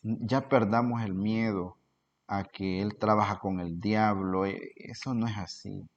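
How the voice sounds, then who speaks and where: A man's voice: on the low side, darkish tone. A man from El Salvador, giving an earnest informal talk full of rhetorical questions as a cultural promoter. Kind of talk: informal spoken lecture. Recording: dull lo-fi recording.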